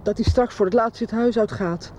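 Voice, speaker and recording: An actress playing a resigned, grieving wife in a Dutch radio soap. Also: female voice, fairly high pitch, fairly quick, warm tone, slight background noise, natural voice. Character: grieving, resigned wife who feels left alone.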